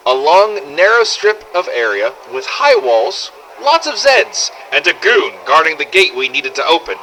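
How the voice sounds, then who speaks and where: Casting: brash male apocalypse survivor played by a man for game-style audio log, bright forceful voice, blunt and sardonic, noisy ambience behind. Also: on the high side, very animated, a little slow, full character voice. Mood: matter-of-fact recounting. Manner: blunt, sardonic, swearing, conversational storytelling. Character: brash, foul-mouthed adult male survivor in zombie apocalypse. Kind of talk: scripted first-person audio log narration.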